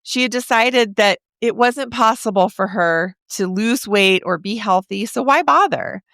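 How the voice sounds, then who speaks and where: A woman in her forties hosting a podcast, talking straight to the listener in a chatty, encouraging way, her voice a little raspy from a sore throat. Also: mid-range voice, a little slow, a bit bright, dry recording, clean studio sound. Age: 40s. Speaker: podcast host. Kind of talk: casual podcast monologue.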